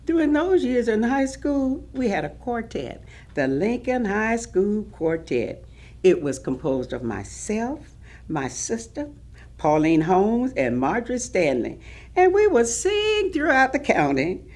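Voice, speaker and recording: An actress playing an elderly Southern woman recalling her life in an expressive, emphatic staged reading of oral history. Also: on the high side, a little slow. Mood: proud, animated.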